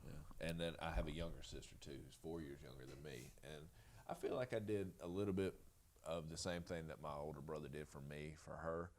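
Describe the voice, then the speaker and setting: A middle-aged man with a deep, booming voice and a Southern Georgia accent, rambling through stories with chuckles and fillers as a podcast guest. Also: a little fast, hardly any pauses, a little mellow. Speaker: podcast guest, jiu jitsu coach. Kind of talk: casual interview storytelling.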